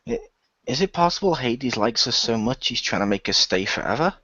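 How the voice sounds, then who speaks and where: A man playing a doubtful, low-key hero in an amateur radio play. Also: very animated, a little fast, sharp tone, no echo, dull audio, heavily acted. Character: doubtful, low-key male hero in space ranger form.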